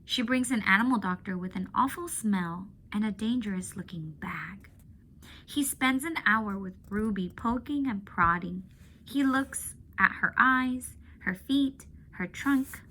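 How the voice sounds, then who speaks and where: A woman teacher reading a children's novel aloud to her students in a slow, deliberate storytelling manner. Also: mid-range voice, fairly bright tone, rough recording.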